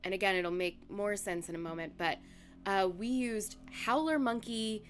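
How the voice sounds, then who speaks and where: A young woman with a raspy voice, co-hosting a casual chat podcast and telling a story, laughing as she talks. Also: moderate pace, soft background music. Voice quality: deep raspy voice from yelling. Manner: chatty, laughs while talking. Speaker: podcast co-host.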